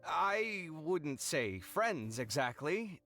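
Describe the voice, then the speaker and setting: A man's voice: fairly high pitch, sing-song, very slow, a bit bright, full character voice. Dramatic flustered young man, game character, voice leaps all over, bit of music behind. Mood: awkward, apologetic. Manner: theatrical, flustered. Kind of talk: video game character acting.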